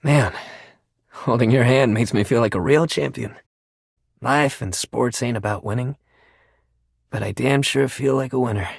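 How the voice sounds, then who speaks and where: An actor playing a sports-obsessed, warm-hearted young jock pal in a video game, with exuberant, slangy bro talk. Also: male voice, medium pitch, a little slow, gravelly, pauses often, husky, no echo, clean studio sound. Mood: tender, admiring. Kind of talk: video game character acting.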